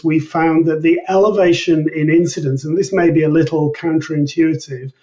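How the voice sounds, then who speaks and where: A man explaining research findings as an interview guest in a measured, methodical manner. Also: fairly high pitch, mellow. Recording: distant mic, dull lo-fi sound.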